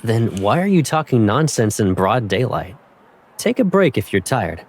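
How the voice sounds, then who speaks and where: A man's voice: mid-range voice, sing-song, normal speed. A man playing an easygoing, teasing young man in a fan dub of an otome game. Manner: playful, teasing. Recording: voice over guitar music.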